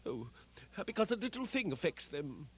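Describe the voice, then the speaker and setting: A man voicing an old miser who scoffs at a ghost and then pleads with it, in an animated dramatic reading. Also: fairly high pitch, normal speed, husky, heavily acted. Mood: scoffing, wary. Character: old male miser, frightened and doubting. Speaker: narrator and lead actor. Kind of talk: dramatic reading of a classic tale.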